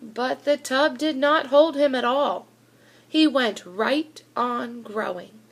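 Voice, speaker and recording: A woman reading a children's picture book aloud in an animated, deliberate storytelling manner with emphatic delivery. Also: sits high, vocal fry. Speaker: school reader of children's books.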